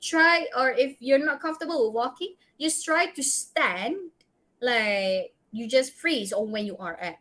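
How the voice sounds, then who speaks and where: A woman's voice: on the high side, a little slow, sharp tone. A woman with a Malaysian accent giving a public speaking workshop talk, offering direct advice and tagging her sentences with "right?" and "okay?". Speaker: guest speaker.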